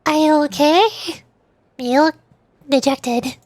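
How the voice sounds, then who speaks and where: A woman's voice: high voice, fairly even intonation, slow, darkish tone, slight background noise. A young woman streaming a game, chatting playfully with frequent giggles as she comments. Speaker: VTuber streamer.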